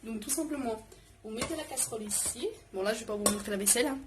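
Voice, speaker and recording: A woman's voice: on the high side, quick pace, music in the background. A woman chatting informally in a slangy, scattered way for a home cooking vlog, often interrupting herself. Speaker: vlogger. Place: home kitchen in an apartment. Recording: noisy home recording, clattering pots and dishes.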